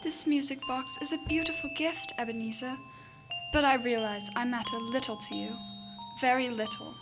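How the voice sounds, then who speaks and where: Over music, a woman plays a gentle young fiancée in a period radio play. The voice is high, earnest and measured, full of resigned sorrow. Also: steady tone, lightly acted. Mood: sad, resigned. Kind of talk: radio drama acting.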